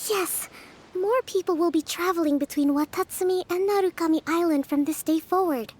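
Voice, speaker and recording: A woman voicing a gentle, thoughtful young leader in a video game, speaking politely and earnestly in measured phrases, with music behind her. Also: very high-pitched. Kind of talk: video game dialogue acting.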